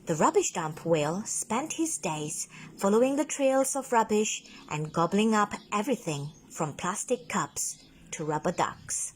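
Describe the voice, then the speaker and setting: A woman narrating a children's bedtime story in a deliberate, dramatic manner, stretching words for emphasis. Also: medium pitch, animated, slight background noise. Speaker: speech and drama teacher reading a story.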